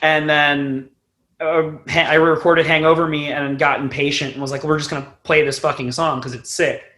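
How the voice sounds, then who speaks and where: Young man, slightly high-pitched voice, casual interview chat, rambling, informal, mildly sweary, musician guest. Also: level tone, moderate pace, a bit bright. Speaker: band frontman, podcast guest.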